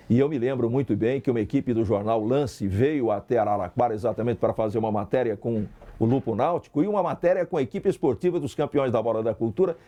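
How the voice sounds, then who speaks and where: A man's voice: medium pitch, mellow. A Brazilian man, a sports broadcaster, recalling past work in an interview in a reflective way with emphatic lists. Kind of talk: interview recollection.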